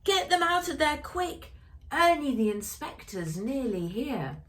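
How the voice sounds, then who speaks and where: A brisk old grandfather from a children's storybook, read out by a woman. He exclaims with urgency, in very animated speech that swings up and down. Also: high-pitched, voice pushed up, full character voice. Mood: urgent.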